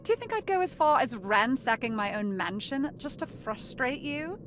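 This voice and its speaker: A woman's voice: high-pitched, very animated, moderate pace, a bit bright, a little hiss or hum. A woman playing a haughty, wealthy young lady in a visual novel, with an airy, condescending manner. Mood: dismissive, scornful. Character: haughty, wealthy young woman, sly and dismissive mansion heiress. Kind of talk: visual novel character voice acting.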